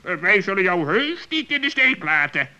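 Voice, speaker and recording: A performer playing a grumpy but loyal male talking bird in a children's radio play, with a sharp, squawky voice and indignant delivery. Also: medium pitch, sing-song, normal speed, dull audio. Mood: determined.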